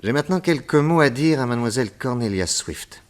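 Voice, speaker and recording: An actor playing an authoritative detective in a radio drama, formal, probing and insistent. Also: male voice, mid-range voice, animated, fairly quick, a little hiss or hum, lightly acted. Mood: commanding, probing. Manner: commanding, formal, insistent questioning. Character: authoritative male American detective, brisk, probing and courteous.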